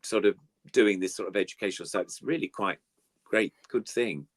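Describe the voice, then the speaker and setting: A man's voice: lowish voice, normal speed, no echo. An older man chatting casually and reminiscing as a guest puppeteer, in a rambling, enthusiastic way. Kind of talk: casual chat, reminiscing about old TV puppetry.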